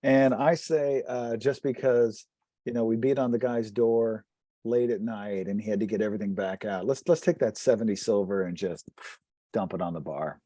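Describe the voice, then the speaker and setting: A man's voice: on the low side, moderate pace, warm tone, no echo, clean studio sound. A man playing a tabletop game, casually chatting and describing what his character does.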